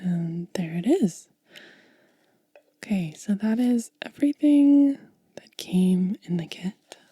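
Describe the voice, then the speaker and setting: A woman hosts ASMR in a breathy, hushed whisper. She moves extremely slowly through short, gentle phrases with pauses between them. The sound is close and very dry, with a soft, mellow tone. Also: medium pitch, animated, no room sound. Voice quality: breathy, mostly unvoiced whisper. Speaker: ASMR host. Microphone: close ASMR microphone.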